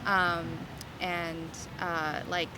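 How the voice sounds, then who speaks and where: Young woman from the US, relaxed chatty interview, filler words, traffic behind. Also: fairly low pitch, extremely slow. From United States. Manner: casual, uses many likes and ums. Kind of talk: casual outdoor interview. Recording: street noise with traffic.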